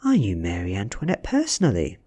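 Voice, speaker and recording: Man reading as poised ancient vampire woman in a storybook: British, husky, soft, with swooping intonation. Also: fairly low pitch, huge pitch swings, brisk, heavily acted. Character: ancient female vampire, coolly confident, posing as student. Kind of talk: soft-spoken audiobook character reading.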